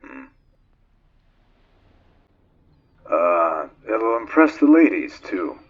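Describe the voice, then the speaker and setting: A man playing a brooding, weary acting captain of a space freighter, measured and dry, in a fan-dubbed game. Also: low voice, big pitch swings, highs cut off, exaggerated voice. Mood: pensive, dry. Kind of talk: dramatic fan dub of a video game. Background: music.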